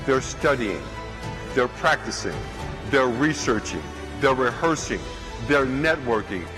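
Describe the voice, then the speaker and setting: Forceful male motivational speaker over music. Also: medium pitch, animated, measured pace. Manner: forceful, rhythmic list-making. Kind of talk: motivational speech.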